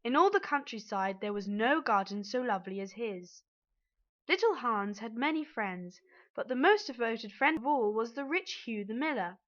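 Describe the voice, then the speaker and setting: A woman narrates a fairy tale from a script. Her voice is fairly high and bright, though the recording is slightly muffled. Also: lively intonation, moderate pace. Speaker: storyteller.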